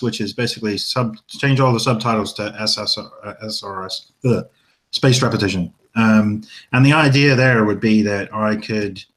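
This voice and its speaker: A man with a fairly low voice chats loosely as a co-host, circling his points with plenty of ums and restarts. He talks in an unhurried, self-deprecating way, as though thinking aloud. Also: fairly bright tone. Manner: rambling, hesitant, self-deprecating, many ums. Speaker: podcast co-host. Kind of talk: casual chat.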